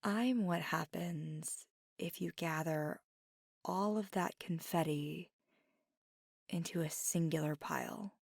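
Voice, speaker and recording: Slow, intimate witch storyteller played by a woman. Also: fairly low pitch, creaky, darkish tone, husky, dry recording, studio quality, exaggerated voice. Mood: soft, musing. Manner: intimate, unhurried, giggly, breaking into near-whispers. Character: playful, mischievous, kindly witch storyteller, female. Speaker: ASMR audio performer. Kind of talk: ASMR scripted monologue.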